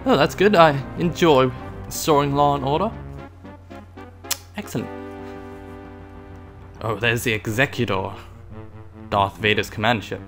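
A man's voice: medium pitch, a little slow, pauses often, faint music behind. A man hosting a gaming video in a put-on British accent, giving hammy, playful commentary as a loyal Imperial pilot. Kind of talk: playful in-character game commentary.

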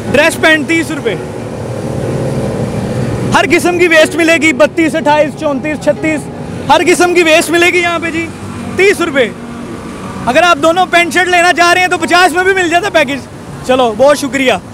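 A young man, presenting a street market to camera in an energetic, repetitive salesman's way. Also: extremely high pitch, moderate pace, long pauses. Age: 20s. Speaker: street vlogger and host. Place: outdoor roadside market. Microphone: handheld recorder held at chest. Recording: noisy busy street with traffic and crowd. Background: crowd and passing vehicles.

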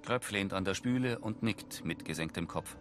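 Deep-voiced male audio description narrator. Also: moderate pace, over music. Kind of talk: scripted narration.

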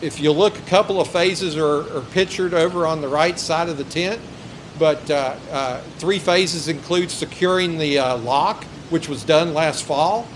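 A middle-aged man speaks outdoors at a stand microphone, reading from notes and stumbling into fillers between phrases. His voice is a touch high for a man. Faint outdoor noise sits behind him. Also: a little slow. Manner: reads prepared remarks with hesitant fillers. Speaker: local official. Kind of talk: introduction speech and technical explanation. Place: outdoors by a river.

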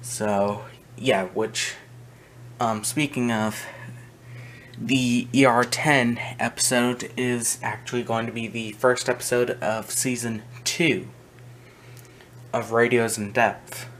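A man's voice: mid-range voice, steady tone, takes it slowly, fairly bright tone. A man narrating a casual, unscripted show-and-tell as a video host, speaking hesitantly with trailing asides. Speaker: video host, hobby collector. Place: small indoor room with wooden shelves. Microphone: handheld camera's built-in mic, behind the camera. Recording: noisy handheld camera audio, faint room noise.

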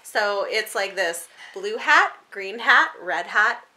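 A woman's voice: mid-range voice, animated, slow pace, pushed and bright. A woman in her thirties chatting casually and encouragingly, asking coaxing questions as if to a young child and echoing back answers. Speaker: book vlogger and mother interviewing her young child. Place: small room with bookshelves, indoors.

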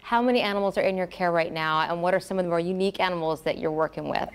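A woman's voice: on the low side, very fast, clear, resonant tone. A woman news anchor in a TV studio, asking a remote guest questions in an encouraging way.

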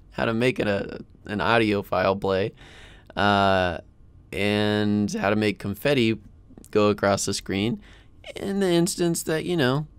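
An American man in his thirties hosting a casual software screencast tutorial in an informal, chatty way, close to a desk mic. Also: fairly low pitch, smooth, dry recording. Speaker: tutorial host. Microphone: close desk microphone.

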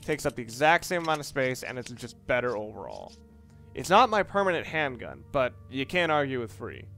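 A man's voice: medium pitch, very animated, brisk, sharp tone. A man hosting a gaming video with casual, rambling commentary, often correcting himself as he goes. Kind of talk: casual let's play commentary. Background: game music.

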